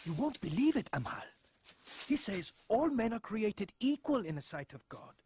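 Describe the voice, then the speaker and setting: An actor playing a brave, devout enslaved man in an animated film, resolute and earnest, with film music behind. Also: sits high, moderate pace, slight background noise. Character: brave, devout male slave, steadfast believer.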